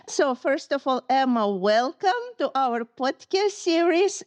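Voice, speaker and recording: A woman hosting a podcast, reading a formal introduction and asking measured, polite questions. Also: high-pitched, darkish tone, no echo, highs cut off. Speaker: podcast host. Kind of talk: podcast interview and scripted intro.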